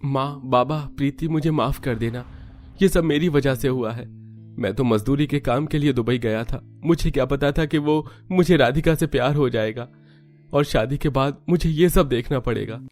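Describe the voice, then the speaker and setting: A man's voice: medium pitch, moderate pace, darkish tone, faint music behind, voice pushed up, close to an everyday voice. A man voicing an apologetic, pleading young husband in a cartoon family drama. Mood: remorseful.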